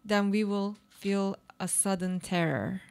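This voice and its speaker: An actress playing a brooding, cynical, uneasy married woman in a literary radio play, speaking in a deliberate, poetic way. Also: mid-range voice, steady tone, vocal fry, a little mellow. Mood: uneasy, reflective.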